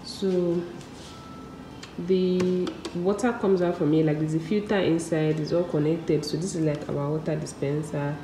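Low-voiced woman in an echoey room. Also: steady tone, unhurried, mellow, far from the mic. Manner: chatty, talks while walking through rooms. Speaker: vlogger. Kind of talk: casual vlog narration. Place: empty apartment, indoors. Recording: echoey bare room with background noise.

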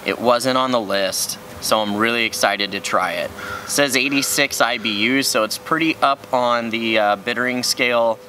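A young man with a bright, mid-pitched voice reviews beers on an outdoor patio. He states his opinions plainly and without fuss. A faint hum of outdoor noise carries under him. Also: normal speed. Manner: frank, matter-of-fact opinions. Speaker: beer review co-host. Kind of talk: casual beer tasting review.

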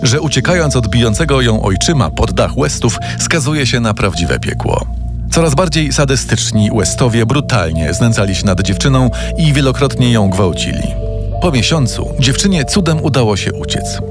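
A man's voice: mid-range voice, lively intonation, normal speed. A man reading a scripted true-crime story as a podcast narrator, recorded as a studio voice-over. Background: music.

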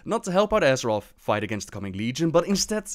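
A man's voice: mid-range voice, very animated, quick pace. A man hosting a lore video with scripted storytelling narration.

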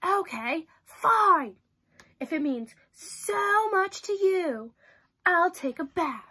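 Woman voicing a stubborn, high-pitched storybook pigeon. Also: expressive, slow, bright, forceful tone, full character voice. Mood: exasperated. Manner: whiny, exaggerated, protesting. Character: stubborn, dramatic cartoon pigeon dodging bath time. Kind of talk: children's storybook character read-aloud.

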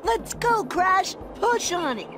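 An actor voicing an excitable, teasing young male opossum in a video game cutscene. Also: extremely high pitch, slow pace, heavily acted. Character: hyperactive cocky young male opossum prankster. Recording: music under the voice.

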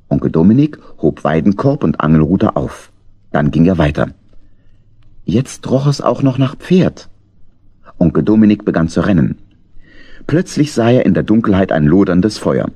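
A man narrating a scripted children's audio play in a deliberate storytelling manner. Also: sits low, dark tone, slightly rough.

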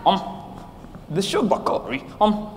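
A young performer playing an exasperated official in a school stage skit, speaking haltingly. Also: mid-range voice, very animated. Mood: puzzled. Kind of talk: amateur stage acting. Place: theatre stage, distant camera. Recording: echoey noisy hall, far from mic.

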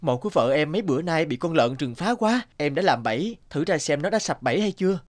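A man playing a bold, devoted young widower who speaks earnestly in an animated fairy tale, with music behind. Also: fairly high pitch, fast, lightly acted. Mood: determined, defiant.

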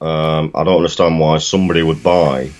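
A man's voice: rumbling low, steady tone, fairly quick, a little mellow. A man in his thirties co-hosting a casual live stream, speaking emphatically with repeated words and British slang. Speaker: co-host of a vaping live show. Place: home room, indoors.

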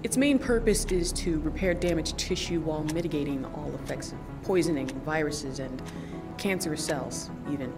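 A woman plays a serious, composed military doctor in an audio drama. Her lowish voice is clinical and formal yet reassuring, over a music bed. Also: moderate pace.

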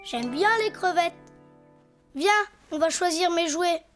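A woman's voice: very high-pitched, takes it slowly, fairly bright tone, full character voice. A woman playing an eager, imaginative little boy donkey in a French children's cartoon, chirpy, childlike and boastful. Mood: cheerful, busy. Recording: faint music behind.

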